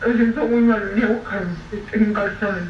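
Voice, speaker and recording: A man playing a beaten, exhausted husband who speaks weakly and haltingly in a radio drama. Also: sits high, steady tone, lightly acted. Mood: weak pleading. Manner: weak, halting, pleading. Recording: echoey, distant, dull, faint hiss.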